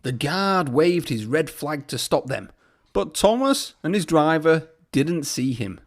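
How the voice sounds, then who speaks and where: A man's voice: fairly high pitch, big pitch swings, unhurried. A man narrating a children's storybook aloud as a storyteller.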